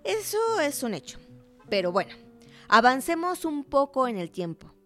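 A woman's voice: high-pitched, very animated, moderate pace, a bit bright, faint music behind. An actress playing a knowledgeable, patient woman who explains history in a conversational, didactic radio drama dialogue. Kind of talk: scripted dialogue narration.

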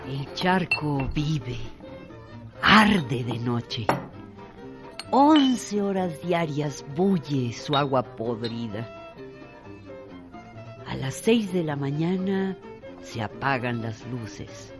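A narrator reading a radio drama in a measured, evocative literary style. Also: mid-range voice, big pitch swings. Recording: music under the voice. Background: music.

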